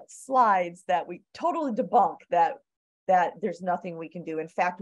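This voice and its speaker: Woman, middle-aged, surgeon presenting research on a video call; lower pitch, eager, dry close sound. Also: a little mellow, no room sound. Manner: enthusiastic, fillers like uh and um. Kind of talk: webinar lecture over slides. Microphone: computer mic on a video call.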